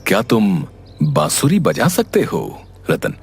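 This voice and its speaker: A man playing a calm, mysterious wandering holy man in an animated folk tale, speaking slowly, deliberately and solemnly. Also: fairly low pitch, vocal fry, full character voice. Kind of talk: Hindi animated story dub.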